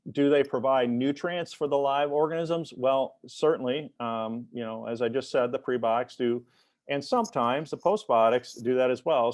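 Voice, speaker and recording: Halting man giving a dry-sounding talk. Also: medium pitch, dry recording. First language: English. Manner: halting, many fillers and restarts. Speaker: expert speaker in a webinar. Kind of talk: technical presentation.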